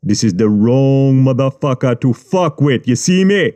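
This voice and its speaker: A man voicing a menacing Jamaican gang boss who speaks in calm, mocking patois, read for an audiobook. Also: mid-range voice, a little slow, natural voice. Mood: threatening. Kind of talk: audiobook character reading.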